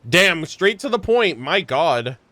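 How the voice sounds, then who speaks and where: A woman streamer giving casual, expressive reaction commentary on a game, informal and prone to swearing. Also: on the low side, takes it slowly, no echo.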